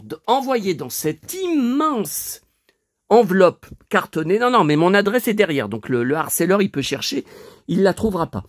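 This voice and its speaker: A man's voice: high-pitched, no echo, clean studio sound. A man in his fifties, stuffy from a lingering cold, rambling to his viewers with lots of hesitations and restarts. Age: 50s. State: dry mouth. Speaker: collector vlogger. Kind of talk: rambling casual monologue to viewers.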